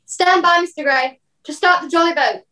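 High pitch, woman acting an authoritative ship's captain, brisk, formal, commanding, radio play delivery. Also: bright, forceful tone. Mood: decisive. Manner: crisp orders, formal.

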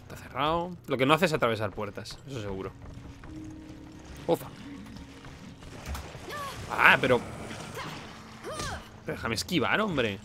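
A man's voice: mid-range voice, expressive, a little slow, pauses often, pushed and bright, faint music behind. A man with an accent from Spain giving casual, sarcastic gameplay commentary as a streamer. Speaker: video game streamer.

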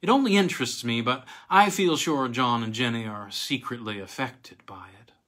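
A middle-aged man reading a literary story aloud expressively, with dramatic, halting delivery and emphatic pauses. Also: mid-range voice, fairly bright tone. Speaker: reader of weird tales. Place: small home room with bookcase, indoors.

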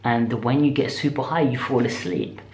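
A British man in his twenties talking casually to camera in a rambling, slangy vlog style, speaking in animated bursts. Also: medium pitch, normal speed. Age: 20s. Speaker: vlogger. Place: small indoor room with bare walls. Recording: echoey, roomy sound.

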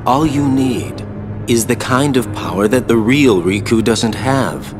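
A man's voice: mid-range voice, measured pace, music in the background, close to an everyday voice. An actor playing a sly young man coaxing someone in a video game cutscene. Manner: coaxing, tempting. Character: sly red-haired young man in a dark cloak.

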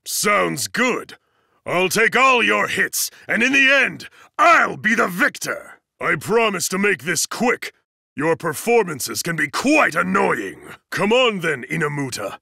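An actor playing a towering, stern, fiercely loyal student disciplinarian in an anime fighting game, commanding, pompous and formal, with a gravelly, raspy voice. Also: male voice, high-pitched, very animated, takes it slowly, bright, forceful tone, no echo, studio quality, full character voice. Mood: stern, challenging.

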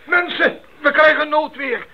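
A commanding expedition leader in an eighties radio play. He shouts orders in a very high, gravelly voice over clear background noise. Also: unhurried, exaggerated voice. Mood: urgent, commanding. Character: commanding male missionary leader raising a storm alarm. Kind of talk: 1980s Dutch radio play acting. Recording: muffled old recording, loud storm-like noise.

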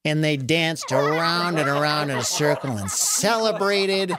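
Children's podcast narrator, slow, very lively pitch, dramatic emphasis, background noise. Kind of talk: animated scripted children's storytelling.

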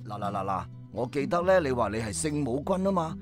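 A man's voice: mid-range voice, very animated, a little fast, music in the background, natural voice. An actor playing a jovial, welcoming man who organises a prayer group, in a Hong Kong Cantonese radio drama.

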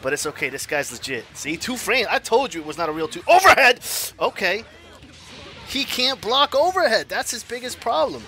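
A man in his forties commenting on his own online fighting game matches, swinging from low mutters to loud yells, laughing and cursing at himself and his opponent. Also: very animated, moderate pace, soft background music. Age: 40s. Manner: profane, self-mocking trash talk. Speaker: gaming YouTuber. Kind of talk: live gameplay commentary.

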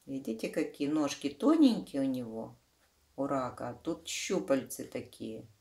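A woman's voice: extremely low pitch, big pitch swings, unhurried, creaky, mellow. A woman reading a children's storybook aloud, explaining the pictures simply to young listeners. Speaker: children's book reader.

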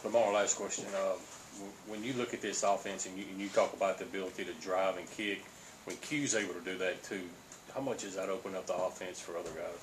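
A male reporter puts questions at a press conference in a deep voice. There is clear noise in the recording. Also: fairly even intonation, fast. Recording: noisy room recording.

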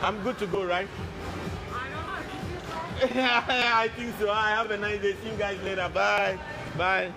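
Young Ghanaian man, high bright voice, phone vlog in a mall with music over it, loud and playful. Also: a little slow, long pauses, a crowd in the background. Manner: loud, animated and playful, switching between languages. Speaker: vlogger. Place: indoor shopping mall. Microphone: phone at arm's length.

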